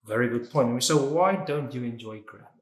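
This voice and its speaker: A male host leads a casual educational interview, asking questions and sharing his own opinions. His voice sits at an ordinary middle pitch. The room is noticeably echoey. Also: moderate pace. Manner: chatty, opinionated, often starts questions with "so". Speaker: interview host, English teacher.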